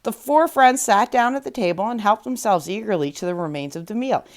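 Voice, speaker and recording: A woman reads a fairy tale aloud as a storyteller. Her voice sits at a middle pitch, and the narration is expressive and scripted. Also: brisk.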